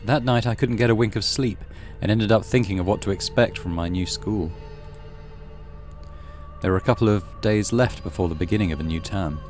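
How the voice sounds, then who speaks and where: Casting: male narrator for horror visual novel, low voice, measured dramatic storytelling, music underneath. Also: darkish tone. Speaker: gaming channel host reading visual novel.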